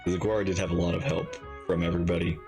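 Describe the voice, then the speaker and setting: A man giving casual live game commentary as a streamer, halting and rambling as he thinks aloud. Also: sits low, little pitch movement, creaky, over music. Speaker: reaction streamer.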